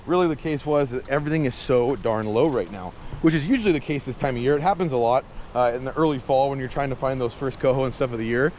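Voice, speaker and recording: A man's voice: medium pitch, fast talker, hardly any pauses, darkish tone, a little hiss or hum. A man chatting casually to viewers as an outdoor camping and fishing vlogger, with a folksy, playful, exuberant manner full of quirky slang. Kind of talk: casual vlog chat to viewers. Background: music.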